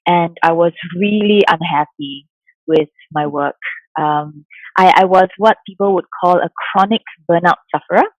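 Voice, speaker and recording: Mindfulness coach from Singapore, a woman in her forties, soft-spoken and reflective, muffled dry recording. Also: fairly low pitch, moderate pace, dry recording. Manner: soft-spoken, thoughtful, many fillers. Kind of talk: interview answers. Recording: dull lo-fi sound, dry.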